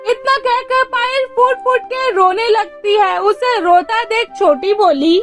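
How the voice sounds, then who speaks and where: A woman's voice: very high voice, unhurried, faint music behind. A woman narrating a scripted moral story as the storyteller.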